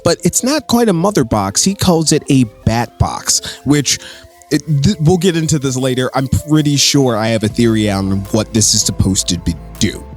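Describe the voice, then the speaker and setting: Guy doing a chatty comics recap, mid pitched voice, into it like a fan, music behind him. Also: lively intonation, moderate pace. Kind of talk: casual story recap commentary. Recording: voice with music underneath.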